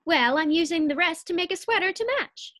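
A woman's voice: extremely high pitch, fairly quick, bright, forceful tone. A woman acting a scheming, cheerful, scatterbrained housewife in a 1940s-style radio sitcom. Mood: chirpy.

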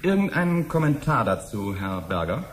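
A man's voice: medium pitch, big pitch swings, normal speed, a little hiss or hum, lightly acted. An actor playing a formal, attentive man in a radio drama, politely and hesitantly interjecting. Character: formal, attentive gentleman following a lecture. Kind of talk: 1970s German radio drama acting.

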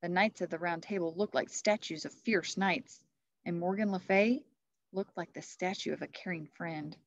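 A woman in her thirties reading a children's story aloud like a teacher, with expressive narration. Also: lowish voice, moderate pace, dry recording. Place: classroom, indoors. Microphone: computer webcam at desk distance. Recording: muffled webcam audio.

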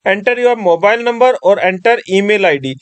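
A man's voice: high voice, slow, no echo. A man from Rajasthan talking viewers step by step through an online form in a screen-recorded tutorial. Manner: step-by-step instructing, addresses viewers as friends. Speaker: tutorial presenter.

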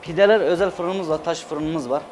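A man's voice: fairly high pitch, normal speed, darkish tone. A man in his thirties from Adana in Turkey, a chef talking casually and emphatically as an interview guest, repeating words for stress. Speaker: chef and restaurant owner, guest. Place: TV studio kitchen set. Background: background music.

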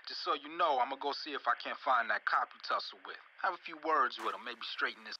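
A man's voice: mid-range voice, animated, quick pace, sharp tone, dull audio, a little hiss or hum. An actor playing a street-talking young man leaving casual voice messages.

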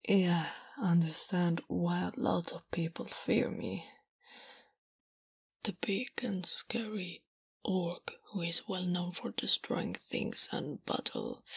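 Woman voicing a slow, breathy big orc woman. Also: on the low side, dry recording, full character voice. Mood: self-doubting. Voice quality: breathy, half-whispered. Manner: halting, soft-spoken, simple broken speech in third person. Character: big strong orc woman, gentle, naive, bashful warrior. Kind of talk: ASMR roleplay.

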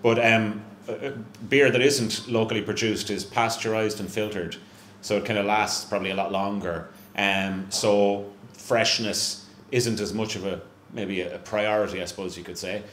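A man's voice: lowish voice, steady tone, moderate pace, fairly bright tone. A middle-aged Irish man chatting on stage at a live event, self-mocking and full of restarts. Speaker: brewery representative, guest. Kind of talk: casual onstage chat. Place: small live venue room with stage. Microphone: handheld mic on stand. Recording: echoey room, faint hum.

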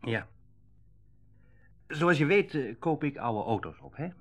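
An actor playing a kindly, frank middle-aged used-car dealer in a radio play, talking in a reflective, conversational way. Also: male voice, mid-range voice, lively intonation, slow pace, a bit bright. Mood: confiding. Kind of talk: Dutch radio play acting, naturalistic dialogue.

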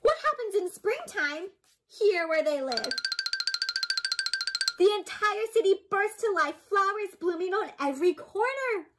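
A young woman doing an interactive storybook read-aloud for children, expressive and gently teacherly, often putting questions to her listeners. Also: extremely high pitch, unhurried, loud music behind the voice. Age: young adult. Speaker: children's storybook reader and host. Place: small indoor room with books and plants.

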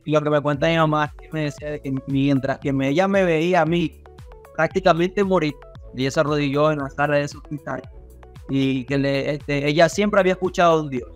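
A young man from Ecuador with a coastal Ecuadorian accent, telling his story casually and haltingly as an interview guest on a video call. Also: fairly high pitch, faint music behind. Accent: Ecuadorian coastal Spanish. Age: young adult. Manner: halting, repeats words as he goes. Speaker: podcast guest, rapper. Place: small indoor studio room on a video call.

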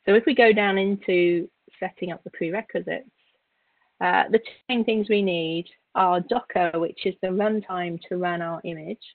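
A woman instructor giving an informal software tutorial, explaining step by step with halting, repeated words. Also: on the low side, steady tone, no room sound. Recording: narrow, phone-like call audio.

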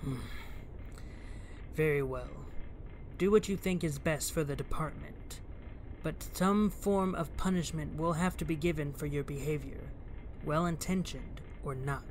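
An actor playing an authoritative police commissioner, diplomatic but stern, with formal and deliberate speech in an audio drama. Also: male voice, a little high, slight background noise, low-quality recording.

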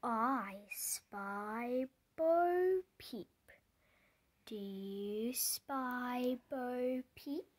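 A storybook reader going through a picture book aloud in simple, deliberate phrases, pausing and putting questions to the listener. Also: mid-range voice, animated. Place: indoors, book held before the camera.

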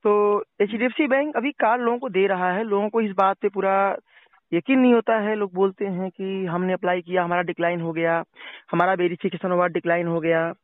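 A man's voice: high-pitched, fairly even intonation, brisk, no room sound. A man hosting a casual phone conversation, explaining things in a reassuring way and repeating his points. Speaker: host, finance help YouTuber. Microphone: telephone. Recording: tinny narrowband phone call recording.

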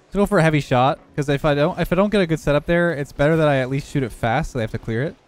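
A young man who has lost some of his voice, hoarse, giving relaxed running commentary over his own gameplay. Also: on the high side, very fast, faint music behind. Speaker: gaming YouTuber.

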